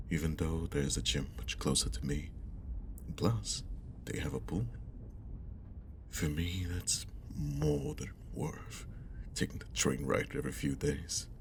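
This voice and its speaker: A man acts out a caring young guy talking to an old crush in an ASMR roleplay. His voice is extremely deep and crackles with vocal fry. He speaks slowly and gently, half whispering in a shy, friendly way. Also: exaggerated voice. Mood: warm, chatty. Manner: soft, gentle, breathy half-whispered delivery, hesitant. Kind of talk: ASMR roleplay, intimate one-sided conversation.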